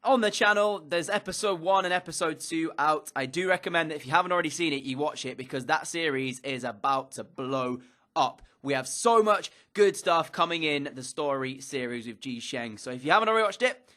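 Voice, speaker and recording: A man's voice: a little high, lively intonation, brisk, bright, forceful tone. A young man from England with a slightly stuffy nose from a cold, recapping football matches in an upbeat commentator style with frequent fillers. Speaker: gaming YouTuber.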